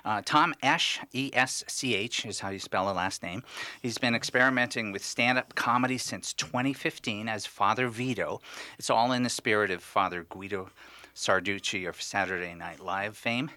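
A man co-hosting a radio talk show, with a low voice and a forceful, bright tone. He speaks haltingly with frequent restarts, and the sound is dry and close. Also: no echo. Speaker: radio co-host. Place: radio studio.

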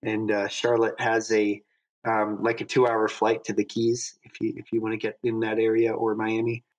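A man's voice: on the low side, completely flat delivery, moderate pace, poor audio. A man as a podcast guest in casual chat, telling upbeat, rambling stories full of fillers. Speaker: podcast guest, knife designer.

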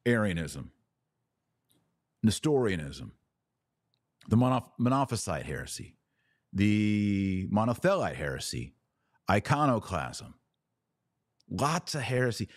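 A man with a deep voice speaks in a dry, close recording. He delivers an unscripted monologue as a religious commentator, emphatic and given to repeating phrases for weight. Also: big pitch swings, slow pace, pauses often, no echo. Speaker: podcast host and religious commentator.

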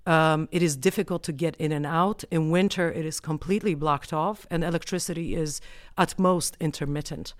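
A woman anthropologist from the United States, answering interview questions in a measured, explanatory way with frequent pauses. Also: low-pitched, fairly even intonation, dry recording, studio quality. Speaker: anthropologist guest.

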